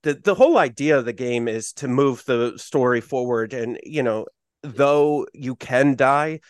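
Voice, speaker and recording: A man running a tabletop game, walking the players through the rules in a loose, rambling way that keeps correcting itself. His voice sits at an ordinary middle pitch. It is recorded close and dry, with no room sound around it. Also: unhurried, clear, resonant tone, no echo. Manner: loose, self-correcting explanation with many fillers. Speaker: game master. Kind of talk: explaining game rules on a podcast.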